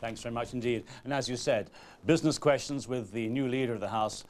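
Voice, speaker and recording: A middle-aged man presenting live political news from a studio in a brisk, formal manner. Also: medium pitch, a little mellow. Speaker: political correspondent. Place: television studio.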